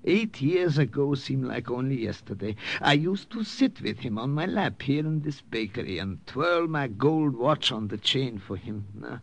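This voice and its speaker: An actor playing a genteel, nervous older professor, courtly and hesitant, in old radio-drama style. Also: male voice, mid-range voice, animated, normal speed, creaky, few pauses. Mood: fond. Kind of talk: 1950s radio drama acting.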